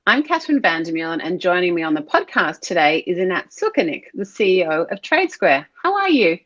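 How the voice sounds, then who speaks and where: A woman's voice: lowish voice, big pitch swings, brisk, a bit bright. A woman hosting a business podcast, polished and upbeat as she greets and questions her guest. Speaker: podcast host. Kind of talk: scripted intro, then interview host. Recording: dull lo-fi sound with music behind.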